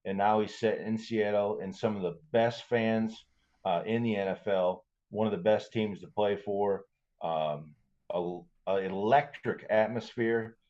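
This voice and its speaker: A man with a fairly low voice talks sports casually as a podcast co-host. His delivery is informal, and the recording sounds a little dull and muffled. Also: fairly even intonation, a little slow, a little mellow.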